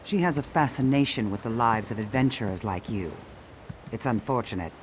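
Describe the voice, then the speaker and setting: Man as orc villager, medium male pitch, blunt, brooding, fantasy game dialogue, faint background noise. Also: fairly quick, close to an everyday voice, low-quality recording. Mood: grim, matter-of-fact.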